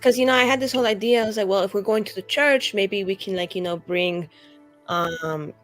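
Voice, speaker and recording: A woman's voice: mid-range voice, a little fast. A woman improvising in character in a tabletop roleplaying game, chatty and hesitant as she rambles. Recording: music behind the voice. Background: background music.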